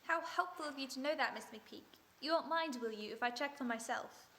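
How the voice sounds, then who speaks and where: Woman acting a probing lady detective, radio drama, ironic, polite, somewhat high voice. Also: brisk, a bit bright. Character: sharp-witted female amateur detective, cool and probing.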